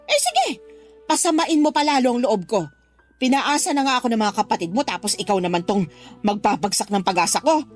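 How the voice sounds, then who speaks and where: Nagging Filipino mother with swooping pitch. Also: big pitch swings, brisk. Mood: aggrieved, nagging. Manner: scolding, guilt-tripping. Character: demanding, nagging mother pressuring her grown daughter. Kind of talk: Filipino radio drama acting. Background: background music.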